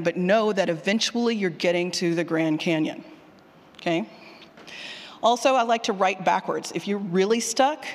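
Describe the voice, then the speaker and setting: Animated woman presenting in an echoing hall. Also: mid-range voice. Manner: animated, colloquial, emphatic, mildly sweary, puts questions to the room. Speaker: speaker leading a workshop on audio drama writing. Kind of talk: informal conference talk to an audience. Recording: long hall reverb from a large room.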